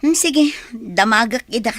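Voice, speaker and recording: Anxious, high-voiced young woman. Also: animated, measured pace, fairly bright tone, dry recording. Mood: questioning, annoyed. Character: anxious, insistent young working woman missing her phone. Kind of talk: radio drama acting.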